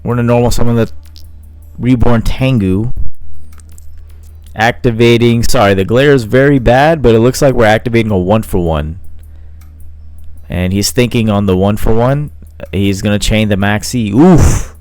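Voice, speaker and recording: A man calls a card game match live in a loose, chatty way, thinking out loud and filling pauses as he goes. His voice sits low and comes across smooth and resonant. The recording is dry and close, with faint background music. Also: dry recording. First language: not Italian. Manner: casual, thinking aloud with fillers.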